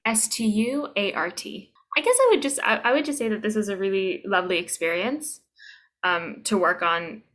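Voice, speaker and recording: A woman's voice: mid-range voice, expressive, normal speed, fairly bright tone. A woman chatting casually as an interview guest, hesitant but effusive. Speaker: voice actor being interviewed.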